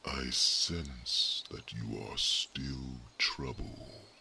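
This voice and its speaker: A man voicing an ancient, wise male guardian in an ASMR fantasy roleplay, speaking in a slow, hushed half-whisper with a gravelly voice. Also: extremely low pitch, steady tone, a little hiss or hum, exaggerated voice. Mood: solemn, gentle.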